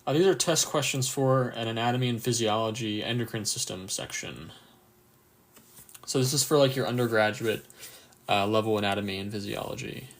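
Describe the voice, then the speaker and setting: A man with a croaky, just-woken-up voice runs a casual live quiz for anatomy students, reading answer options and cheering on viewers' replies. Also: fairly low pitch, fairly quick. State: just woke up, a bit tired. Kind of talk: casual livestream quiz review.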